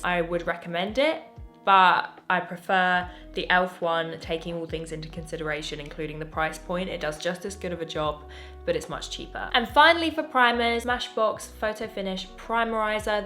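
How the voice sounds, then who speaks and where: A young English woman, slightly low in pitch and a little worn in the voice, chats through her makeup empties at home. She gushes, trails off and restarts mid-thought. Soft music plays faintly underneath. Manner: gushing, chatty, self-interrupting. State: voice slightly going. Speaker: beauty vlogger. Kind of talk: casual chatty product review.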